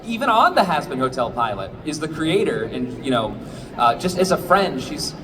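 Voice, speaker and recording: A man's voice: on the high side, very animated, moderate pace, a crowd in the background. A young man, a voice actor on a convention panel, talking casually with lots of fillers. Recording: noisy convention hall.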